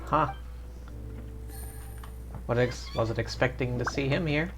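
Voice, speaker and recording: A woman with a very low voice reacts casually while streaming a game. She talks slowly in short dry asides, over game music. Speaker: game livestreamer.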